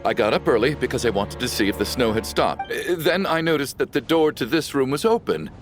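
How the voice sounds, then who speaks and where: A man's voice: mid-range voice, animated, fairly quick, music in the background, natural voice. An actor playing a nervous, stammering man who defends himself after finding two bodies in a game. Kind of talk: game voice acting.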